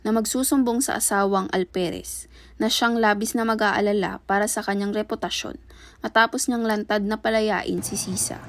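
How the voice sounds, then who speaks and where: A woman's voice: medium pitch, fairly even intonation, normal speed. A woman reading scripted dramatic narration as the narrator of a radio play. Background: music.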